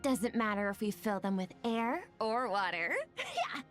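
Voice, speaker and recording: An actress playing a playful, mischievous young woman in a video game, teasing in an unhurried way. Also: mid-range voice, lightly acted. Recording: music behind the voice.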